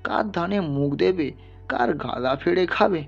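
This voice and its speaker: A man's voice: high voice, animated, measured pace, vocal fry, lo-fi sound, faint music behind, pitched above the performer's own voice, heavily acted. A man voicing a poor, sickly peasant who pleads humbly and sorrowfully in an audio story. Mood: weary, pleading. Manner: pleading, plaintive, deferential.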